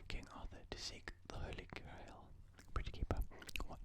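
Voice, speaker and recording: An ASMR host reading out quotes in a breathy, unvoiced whisper, with deliberate phrasing and pauses.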